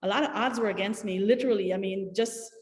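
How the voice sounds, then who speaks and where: A woman's voice: medium pitch, quick pace. Guyanese woman in an echoey room. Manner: earnest, emphatic storytelling. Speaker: panelist. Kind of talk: panel discussion answer over video call. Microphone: video call. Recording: echoey room with long reverb.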